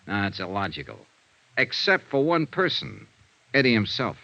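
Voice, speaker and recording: A dry, close but dull vintage radio recording carries a shrewd, confident male detective. His delivery is brisk and hard-boiled, with a punchy edge and lively rises and falls. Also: on the low side, gravelly, dry recording, heavily acted. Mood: confident, reasoning. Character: shrewd, cool-headed male insurance investigator. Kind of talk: 1950s radio detective drama acting.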